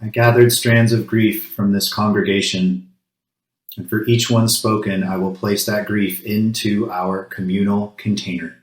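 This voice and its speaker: Low, even-voiced man leading a solemn ritual. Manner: measured, solemn, pausing between short phrases. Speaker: congregation worship leader. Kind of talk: solemn spoken grief ritual. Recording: roomy, echoing sound.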